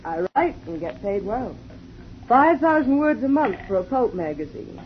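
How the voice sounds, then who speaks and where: An actress playing a sharp-tongued young woman writer in a 1950s radio drama, sardonic and quick-witted, on a muffled old broadcast with faint hiss. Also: a little high, big pitch swings.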